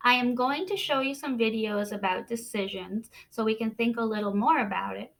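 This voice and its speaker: A woman teacher reading aloud to children expressively, with deliberate dramatic pauses and questions for her young listeners. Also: on the high side, creaky, a bit bright.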